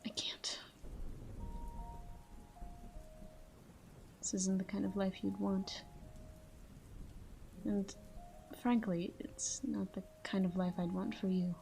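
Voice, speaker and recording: Casting: actress as tender, brooding female vampire for sleep-aid audio drama; mellow, even-pitched, hushed and intimate delivery, light music underneath. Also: measured pace, pauses often. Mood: wistful, regretful. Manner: soft, tender, breathy murmur slipping into whispers. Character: caring, tormented female vampire lover watching her sleeping partner. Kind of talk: intimate scripted ASMR roleplay monologue.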